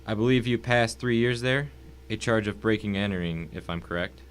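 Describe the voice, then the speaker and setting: Cultured, menacing older-world gentleman villain acted by a man, lowish voice, courteous and cold, audio story, faint hum behind. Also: a little fast, lightly acted. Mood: courteously menacing. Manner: urbane, formal, mock-courteous. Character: calm, wealthy, menacing husband, cultured villain. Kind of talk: dramatic audio reading of a short story.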